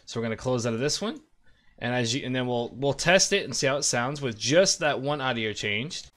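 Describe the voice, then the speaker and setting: Man, thirties, informal tutorial host with mid-pitched voice, explaining software steps casually. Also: lively intonation, brisk. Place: indoor room at a desk.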